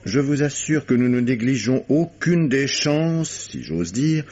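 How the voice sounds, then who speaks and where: Probing judge; steady, dry delivery with animated pitch, lo-fi old-radio sound. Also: male voice, measured pace. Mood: measured. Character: calm, probing male investigating judge. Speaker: investigating judge. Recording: dull lo-fi old radio broadcast.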